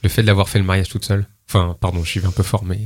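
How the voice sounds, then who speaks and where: Man asking halting, probing questions. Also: sits low, level tone. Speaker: interviewer host. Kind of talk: conversational interviewing.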